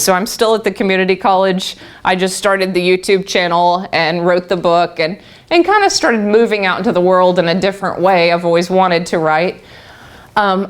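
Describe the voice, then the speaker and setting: A middle-aged woman telling a group her own story, laughing often and restarting her sentences. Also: fairly low pitch. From Texas. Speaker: guest speaker. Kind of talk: personal talk to a live group.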